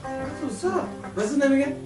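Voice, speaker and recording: A man with a high, lively voice jokes and teases the others. He sits away from the microphone in an echoey room. Loud music plays under the talk. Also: moderate pace, recorded from a distance. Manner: joking, teasing. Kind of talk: casual chat.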